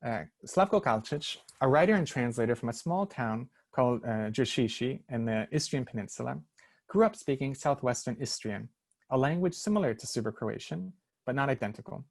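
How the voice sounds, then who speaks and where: A man presenting a prepared research paper at an online seminar, reading carefully with small hesitations over foreign names. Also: medium pitch. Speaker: academic lecturer. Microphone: online seminar, computer mic.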